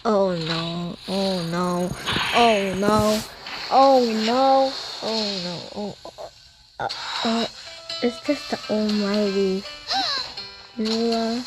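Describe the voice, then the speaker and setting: A woman giving casual gameplay commentary with halting, understated reactions. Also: mid-range voice, low-quality recording. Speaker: gaming video creator. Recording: loud game music behind voice. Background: game music.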